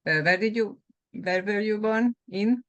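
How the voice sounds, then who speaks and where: A woman's voice: medium pitch, a little slow, fairly bright tone. A woman who hosts a podcast and works as a health and fitness coach, asking her guest questions over a video call in a friendly manner and laughing easily. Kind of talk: interview hosting.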